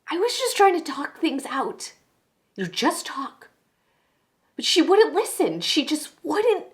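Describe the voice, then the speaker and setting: A woman playing a defensive, cracking young woman under interrogation in a dramatic monologue, scattered, halting and pleading, close to breaking down. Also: high voice, pitched above the performer's own voice, heavily acted. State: distraught, breaking into tears.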